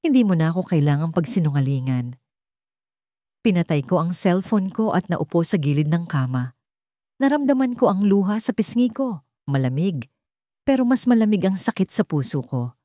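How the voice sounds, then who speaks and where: A woman reading a scripted first-person sad love story in a dramatic, measured storytelling manner. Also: on the low side, very animated, warm tone, no room sound, clean studio sound. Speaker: story narrator.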